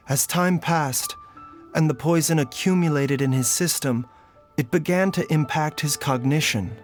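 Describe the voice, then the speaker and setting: A man voicing a calm, learned physician in a video game, speaking softly, precisely and formally. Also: fairly high pitch, close to an everyday voice. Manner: soft-spoken, precise, formal. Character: calm, learned male physician, gentle and composed. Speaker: doctor.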